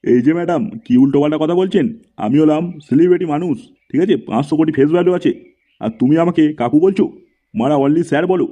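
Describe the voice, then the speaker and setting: A man's voice: on the high side, fast, dark tone, lo-fi sound, natural voice. A man voicing a boastful, crude, self-styled celebrity in a comedy cartoon. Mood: indignant. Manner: pompous, swaggering.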